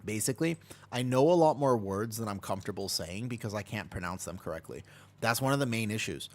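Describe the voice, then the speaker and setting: Low-voiced American man chatting on stream. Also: lively intonation, a little fast. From United States. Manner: chatty and rambling, reads and answers chat, laughs easily. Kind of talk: casual gameplay stream chat.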